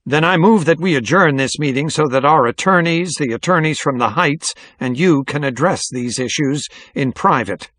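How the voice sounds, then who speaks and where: A man voicing an angry, pompous mayor in an audiobook, loud and commanding. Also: on the high side, normal speed, few pauses, no echo, voice pushed up. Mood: flustered, raised voice. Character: angry, blustering small-town male mayor in office.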